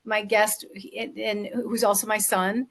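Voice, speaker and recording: A woman's voice: medium pitch, flat delivery, measured pace. A middle-aged woman hosting a casual interview, speaking in a personal, affirming, conversational way. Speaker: interview host, writer.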